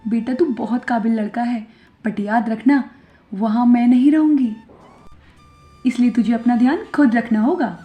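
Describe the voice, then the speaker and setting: A woman playing a suspicious, dramatic middle-aged mother-in-law in an animated moral story, exclaiming and complaining. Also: on the high side, a little slow, close to an everyday voice. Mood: cautioning. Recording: dull lo-fi audio, music behind.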